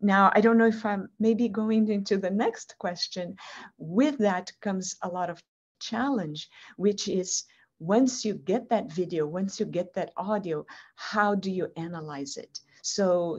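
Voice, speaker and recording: A woman's voice: fairly low pitch, a little mellow. A woman whose first language is Portuguese, earnestly answering interview questions over a video call and often repeating words as she finds her phrasing. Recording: muffled video-call audio.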